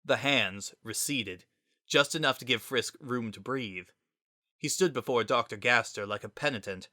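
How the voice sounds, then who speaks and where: A man's voice: mid-range voice, normal speed, bright, forceful tone, no room sound, studio quality. A man narrating a scripted dramatic story as its narrator.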